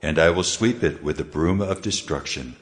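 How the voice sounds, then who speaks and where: An actor playing a stern, sovereign deity decreeing judgment in a solemn, commanding manner, in dramatized scripture. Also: male voice, a bass voice, moderate pace. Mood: stern, resolute. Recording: long reverberant hall effect.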